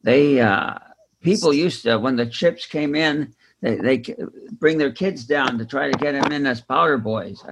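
A man speaking casually and haltingly in a book discussion. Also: mid-range voice. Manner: halting, hesitant delivery. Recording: clear background noise with clicks.

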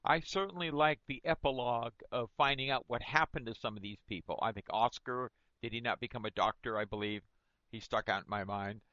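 A man with a bright, forceful voice moderates an informal book group. His phrasing is appreciative and often restarts mid-thought. The sound is very dry and a little lo-fi. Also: medium pitch, brisk, dry recording.

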